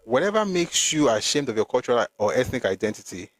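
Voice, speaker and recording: A man's voice: medium pitch, sing-song, quick pace, no room sound, low-quality recording. A middle-aged man with a Nigerian accent, hosting an emphatic, argumentative opinion monologue with frequent restarts. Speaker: host.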